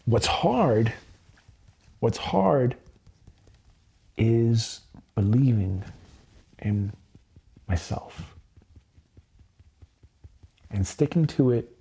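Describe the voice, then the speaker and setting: A man's voice: mid-range voice, expressive, extremely slow, vocal fry, pauses often, warm tone, husky. A man in his thirties on a livestream, speaking earnest, repetitive self-affirmations with frequent swearing. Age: 30s. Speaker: EFT tapping livestreamer.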